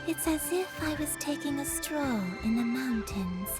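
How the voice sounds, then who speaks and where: Serene, slow-speaking dreamy maiden. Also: female voice, high-pitched. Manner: calm, contemplative. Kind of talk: video game voice acting. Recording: music under the voice.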